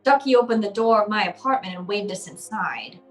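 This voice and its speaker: A woman's voice: mid-range voice, animated, brisk, soft background music, natural voice. A woman playing a tough, terse hardboiled private eye in an old-time radio detective play. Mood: dry narration. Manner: terse, no-nonsense.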